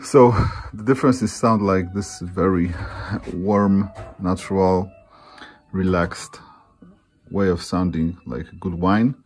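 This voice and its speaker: Deep-voiced man, halting product presenter. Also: steady tone, darkish tone. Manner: halting, searching for words. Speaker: loudspeaker designer presenting own products.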